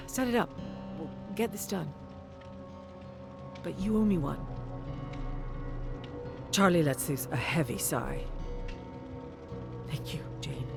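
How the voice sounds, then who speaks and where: Female story narrator: deep, unhurried, dramatic delivery that carries character dialogue, music bed underneath. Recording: music behind the voice. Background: clear music.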